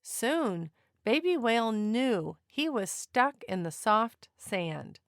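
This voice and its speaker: A woman narrating a children's picture book aloud in an expressive, deliberate storytelling manner. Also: a little high, no room sound, clean studio sound.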